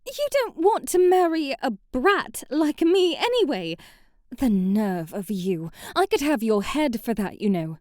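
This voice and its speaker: Woman acting a haughty, high-voiced young princess. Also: very animated, a little slow, a little mellow, no room sound, clean studio sound. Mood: offended, superior. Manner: prim, imperious, old-fashioned formal wording. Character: haughty, sheltered young princess, prim, bratty, easily flustered. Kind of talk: scripted ASMR roleplay acting.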